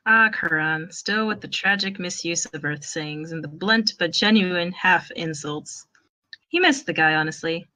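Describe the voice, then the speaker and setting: A woman's voice: fairly low pitch, unhurried, bright, forceful tone, rough recording. A woman reading fan fiction aloud as narrator, with wry, dramatic emphasis.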